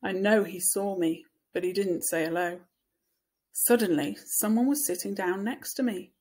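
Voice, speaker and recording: A woman's voice: mid-range voice, normal speed, a little mellow. A woman reading a children's novel aloud as first-person scripted narration, like a teacher reading to pupils.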